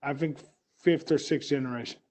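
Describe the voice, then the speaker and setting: Dutch guy, fighter at a press day, bit high pitched, short plain answers with fillers. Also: fairly even intonation, moderate pace, a little mellow. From Netherlands.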